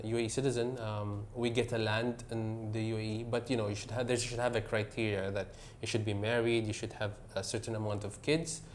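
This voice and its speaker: Middle-aged emirati guy being interviewed, lowish voice, lots of ums, faint hiss behind. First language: Arabic. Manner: hesitant, many fillers, mixes English and Tagalog. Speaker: guest. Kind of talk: casual interview answers.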